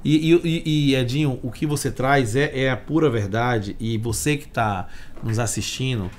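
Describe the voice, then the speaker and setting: A man's voice: medium pitch, moderate pace, slight background noise. A Brazilian man around forty hosting a conversational podcast, explaining points by asking and answering his own questions into a desk microphone. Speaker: podcast host, accountant. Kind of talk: conversational business podcast talk. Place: indoor meeting room with wood panelling. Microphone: desk microphone on stand.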